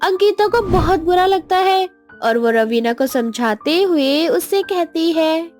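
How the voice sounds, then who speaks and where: High-pitched woman narrating a story. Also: lively intonation, measured pace, darkish tone, faint music behind.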